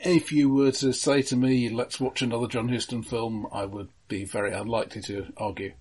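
A man co-hosting a podcast, talking casually about films in a measured, understated manner. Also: mid-range voice, little pitch movement, a little mellow, slightly rough, no echo. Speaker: podcast co-host. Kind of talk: casual film discussion.

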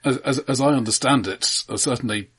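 A man co-hosting a casual film podcast, talking in a measured, analytical and somewhat hesitant way. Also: medium pitch, a bit bright, breathy edge. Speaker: podcast co-host.

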